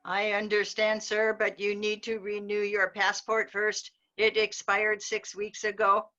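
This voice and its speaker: A woman's voice: mid-range voice, completely flat delivery, measured pace, bright, forceful tone, faint music behind. Woman reading a prying, unflappable pizza clerk. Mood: polite. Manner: polite, unflappable and coolly formal. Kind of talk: amateur readers theater over video call. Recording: dull lo-fi video call audio.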